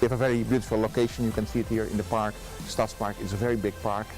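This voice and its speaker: Middle-aged Dutch-accented man, deep and hesitant, outdoor park interview. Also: flat delivery, music in the background, poor audio. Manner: halting, many uh fillers. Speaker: festival manager.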